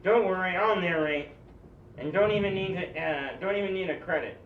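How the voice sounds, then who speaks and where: Affable, demanding male director boss , read by a man: higher voice, halting lines, roomy distant sound. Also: close to an everyday voice. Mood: reassuring. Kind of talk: amateur script table read. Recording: echoey, muffled, distant mic, faint noise.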